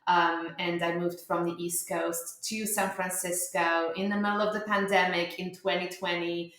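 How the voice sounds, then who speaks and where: A woman's voice: lowish voice, flat delivery, moderate pace, a bit bright. Romanian-born woman in an echoey room. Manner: chatty, many fillers and restarts. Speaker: startup founder guest. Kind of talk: conversational interview answers.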